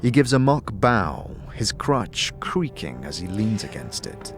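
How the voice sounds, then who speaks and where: A man narrating a dark fantasy audio drama in a solemn, measured, dramatic way. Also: lowish voice, sing-song, vocal fry, exaggerated voice. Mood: ominous. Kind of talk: scripted second-person audio drama narration. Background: music.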